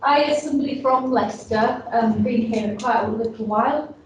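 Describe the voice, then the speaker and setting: A woman's voice: a little high, normal speed, noisy background. A woman with a Yorkshire accent giving a casual talk to an event audience, chatty and full of ums and restarts. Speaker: innovation hub staff presenter. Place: large echoey event room. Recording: roomy audio from a distant mic.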